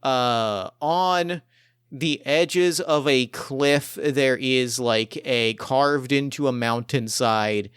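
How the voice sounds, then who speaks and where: A man's voice: mid-range voice, drawn-out words, fairly bright tone, clean tone, no echo, studio quality. A man hosting as game master, chatting casually and narrating a game scene with enthusiasm. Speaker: game master and podcast host.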